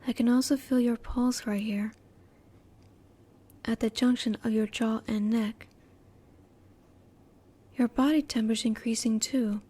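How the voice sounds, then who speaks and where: A woman voicing a curious, emotionally reserved female alien in an intimate roleplay audio, speaking in a formal, measured, inquisitive way. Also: a little high, fairly even intonation, mellow, husky. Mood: intrigued, restrained.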